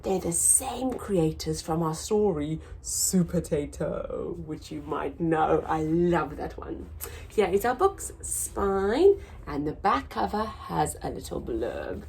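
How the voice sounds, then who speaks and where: A woman hosting a children's story time, reading a picture book aloud in an expressive, sing-song way for small children. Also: on the low side, slow pace, gravelly, slight background noise.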